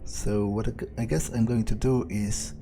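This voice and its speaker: A man's voice: lowish voice, fairly even intonation, moderate pace, warm tone, a little hiss or hum. A man thinking aloud in character while playing a video game, musing and casually profane. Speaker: let's-play gamer speaking in character.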